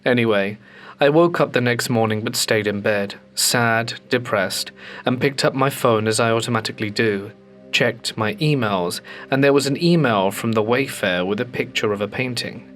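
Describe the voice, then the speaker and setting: A man hosting a ghost story channel, reading submitted stories aloud in a calm, measured way with slowed dramatic pauses. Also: medium pitch, soft background music.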